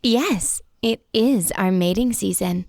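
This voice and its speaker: A woman's voice: medium pitch, slow pace, a little mellow, dry recording, clean studio sound. A woman playing a seductive forest nymph in a fantasy audio drama, coy and drawling. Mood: flirtatious.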